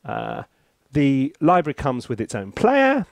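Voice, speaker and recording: Animated British man presenting, close dry sound. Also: mid-range voice, a little slow, gravelly, dry recording. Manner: jokey, self-interrupting, theatrical asides. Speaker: composer reviewing music software, presenter. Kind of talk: casual, chatty tutorial to camera.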